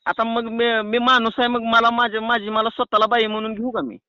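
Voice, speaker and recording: A man's voice: extremely high pitch, flat delivery, fairly quick, clear, resonant tone, no echo. A man with a rural Maharashtrian accent making a prank phone call, bantering and protesting in a cheeky, rambling way. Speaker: prank caller. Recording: muffled lo-fi phone call recording.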